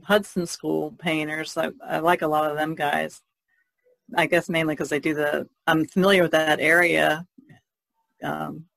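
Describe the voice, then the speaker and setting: A woman's voice: deep voice, vocal fry, a bit bright, no echo, low-quality recording. A woman chatting casually as a podcast panelist, in a hesitant, rambling way. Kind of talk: casual chat.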